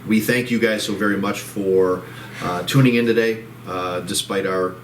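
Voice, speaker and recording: A man's voice: on the low side, fairly even intonation, normal speed, distant mic, a little hiss or hum. A man co-hosting a casual chat show, rambling with many restarts, his voice soothing. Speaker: co-host. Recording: echoey room, off-mic.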